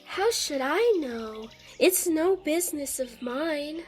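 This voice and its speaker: A woman plays a curious, well-mannered storybook girl with some pluck. Her voice is very high and unhurried, measured in its questions, with faint music behind it. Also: lively intonation, a little mellow. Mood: plucky. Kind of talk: audiobook character acting.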